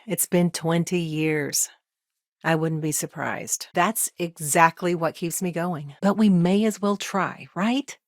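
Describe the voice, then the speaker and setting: A woman playing an earnest, overworked lawyer in a video game, speaking in a measured, matter-of-fact, conversational way with dry wit. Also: lowish voice, no echo. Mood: sincere, measured. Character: earnest, overworked female frontier lawyer, dry-witted and principled. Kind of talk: naturalistic video game dialogue acting.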